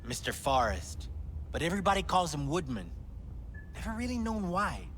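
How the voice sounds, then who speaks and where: A jittery, evasive club worker. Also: male voice, on the high side, very animated, moderate pace, slight background noise. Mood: nervous. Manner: hesitant, evasive, fidgety. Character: nervous young male club worker. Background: soft club music.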